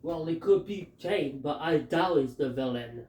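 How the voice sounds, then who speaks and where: Filipino-accented guy, kinda high voice, slow and hesitant, roomy echo. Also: lively intonation, darkish tone. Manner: halting, hesitant, many fillers. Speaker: fan commentator. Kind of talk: casual theory talk.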